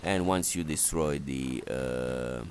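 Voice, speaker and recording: A man giving step-by-step, halting instructions as commentary for a strategy game walkthrough. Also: a bass voice, darkish tone. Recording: clearly noisy voice recording.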